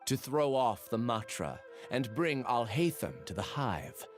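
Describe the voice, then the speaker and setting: A man playing a troubled, earnest young scholar in a video game, speaking in a measured, confessional way. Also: medium pitch, big pitch swings, soft background music. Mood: solemn, resigned. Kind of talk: dramatic game voice acting.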